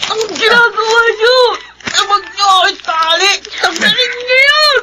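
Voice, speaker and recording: A woman's voice: very high-pitched, lively intonation, measured pace, shouting, very noisy. An actress playing a distraught mother who pleads and wails in melodramatic radio drama style. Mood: tearful, desperate. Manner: wailing, tearful, pleading. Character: distraught, pleading young mother of a possessed child.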